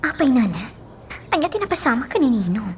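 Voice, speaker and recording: Actress as troubled high-voiced Ilocano lady. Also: big pitch swings, brisk, a little hiss or hum. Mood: shocked, wondering. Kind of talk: Ilocano radio drama acting.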